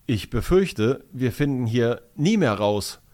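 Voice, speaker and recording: A man's voice: mid-range voice, expressive, slow pace, a little mellow. A man voicing a nervous young schoolboy who stammers apologetically. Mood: anxious. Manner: stammering, sheepish.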